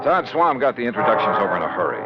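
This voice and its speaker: Wry, animated hard-boiled investigator. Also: male voice, lowish voice, very fast, loud music behind the voice. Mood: brisk, vivid. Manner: wry, punchy, colloquial. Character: wry hard-boiled male insurance investigator narrating his case. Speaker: lead actor and narrator. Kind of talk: 1950s radio drama narration. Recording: muffled old radio recording.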